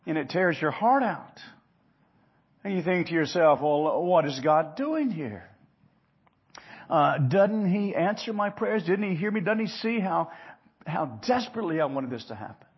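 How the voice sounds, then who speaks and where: A man preaching an expository sermon in an earnest, emphatic manner, moving between hushed and loud delivery with rhetorical questions and repeated phrases. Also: fairly high pitch, lively intonation, normal speed, husky, dull audio.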